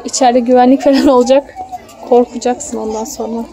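A woman's voice: fairly high pitch, steady tone, a little fast, crowd noise. A woman, a mother chatting casually with a child and pointing things out on an outdoor family vlog. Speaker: mother filming a family outing. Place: outdoors in a theme park. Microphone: handheld phone. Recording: outdoor ambient noise on phone.

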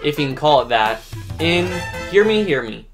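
A man's voice: on the high side, big pitch swings, moderate pace, music in the background. A young adult man hosting a countdown review video in scripted narration.

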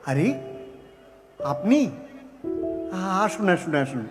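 An eager, easily flustered prospective groom in an audio drama, pitched strikingly high. He hesitates, stammers and doubles his words as he meekly tries to please. A long hall reverb surrounds the voice. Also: big pitch swings, very slow, over music, exaggerated voice. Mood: excited, bashful.

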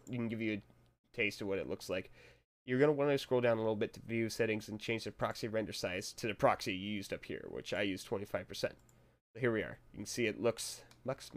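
A young man talks through a screen tutorial in a relaxed, rambling way. His voice sits fairly low and is a little hoarse from a cold. He chuckles to himself now and then. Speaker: tutorial maker. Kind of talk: casual screen-recorded tutorial.

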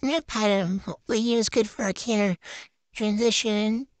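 A woman's voice: medium pitch, fairly even intonation, normal speed, no room sound. Young woman casually narrating gameplay, dry sound. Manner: chatty, narrating each move in short phrases. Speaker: gaming vlogger. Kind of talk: casual play-by-play gameplay commentary.

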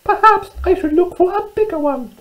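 A woman voicing a tiny, squeaky female mouse, polite and determined, in a children's storybook. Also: animated, moderate pace, lo-fi sound. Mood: eager, polite. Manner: polite, eager.

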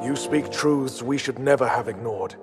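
An actor playing a grave, honorable knight officer, devout and remorseful, in a video game. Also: male voice, mid-range voice, normal speed, lightly acted. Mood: grave, regretful. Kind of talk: video game dramatic acting. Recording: clear dramatic music underneath.

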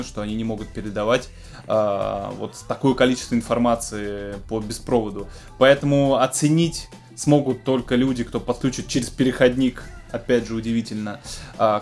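Male host, 30s, medium-pitched voice, casual gear-news presenting to camera, background music. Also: a little mellow. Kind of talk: news roundup to camera, semi-scripted casual talk. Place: small home studio room with keyboards.

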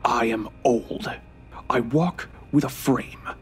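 Man acting a bitter old grandfather, slow halting speech, irritable, remorseful, audio drama, music underneath. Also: medium pitch, close to an everyday voice. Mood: regretful, bitter. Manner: halting, irritable, sweary, with breathy hushed moments.

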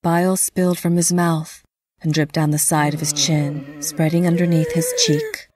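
Woman narrating a dark fantasy audio drama, slightly low, no room echo. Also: very animated, normal speed, husky, studio quality.

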